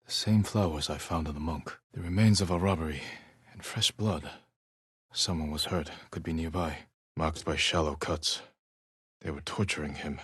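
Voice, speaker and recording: A man playing a solemn, resolute samurai warrior in a video game, speaking in a husky, measured, grave way with short phrases. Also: sits low, no room sound, clean studio sound. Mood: hushed, investigating. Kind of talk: dramatic video game character dialogue.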